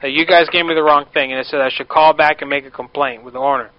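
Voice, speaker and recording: A brash, demanding man plays an indignant customer in a prank call, his voice sitting a little high. The radio recording is dull and lo-fi. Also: fairly even intonation, fast talker, no echo. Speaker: prank caller, radio host.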